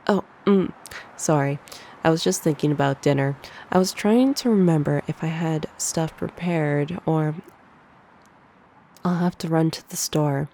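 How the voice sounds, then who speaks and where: A woman playing a teasing, caring succubus teacher in a soft ASMR roleplay on a close binaural microphone. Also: low voice, measured pace, slight background noise. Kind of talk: ASMR roleplay, one-sided conversation.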